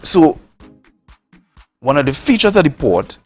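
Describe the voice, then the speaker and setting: A man from Tobago with a Trinidad and Tobago accent, making a forceful political case on a morning talk show and repeating words for emphasis. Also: a little high, big pitch swings, measured pace, slightly rough, faint music behind. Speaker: politician, guest.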